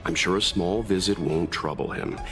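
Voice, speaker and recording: A man voicing a calm, sensible, courteous man in a video game, measured and reassuring. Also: deep voice. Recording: game music clearly behind the voice.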